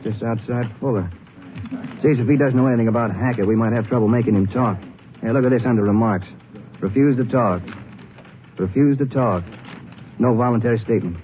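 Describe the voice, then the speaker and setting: An actor playing a plain-spoken, doubtful Texas Ranger sidekick in a 1950s radio drama. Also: male voice, medium pitch, brisk, pauses often, natural voice. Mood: curious. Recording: muffled, hissy 1950s radio recording.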